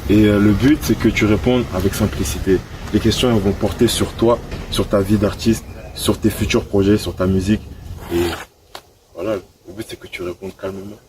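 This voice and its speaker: Low-voiced male host, distant mic. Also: moderate pace. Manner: calm, short acknowledgements. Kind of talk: interview hosting. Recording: distant mic with clear background noise.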